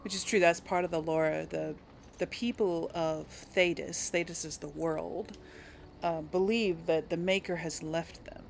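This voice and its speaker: A woman's voice: lowish voice, steady tone, measured pace, gravelly, slight background noise. A woman hosting a gaming video, giving casual, chatty and rambling let's play commentary.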